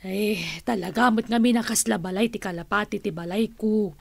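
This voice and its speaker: An actress playing an exasperated comic auntie in an Ilocano radio drama, hesitant and full of exclamations. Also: female voice, medium pitch, fairly quick, gravelly, dry recording.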